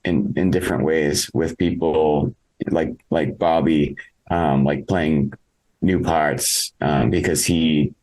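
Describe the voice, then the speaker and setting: A man talking casually as an interviewed musician, halting and reflective as he thinks aloud. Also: extremely low pitch, steady tone, a little mellow, rough recording. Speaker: interviewed musician, singer in a band.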